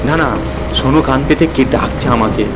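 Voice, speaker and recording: A man's voice: medium pitch, moderate pace, natural voice. A man voicing an anxious, impatient householder who is frightened of robbers. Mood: fearful, impatient. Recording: long reverb, background music.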